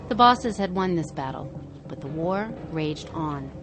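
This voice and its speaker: Woman podcast narrator, lowish voice, reading a scripted history piece in a measured way. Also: animated, noisy background.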